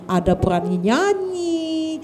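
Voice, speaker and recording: A woman telling a children's story in a lively, sing-song way, often addressing the children as friends. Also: sits high, takes it slowly, a little hiss or hum.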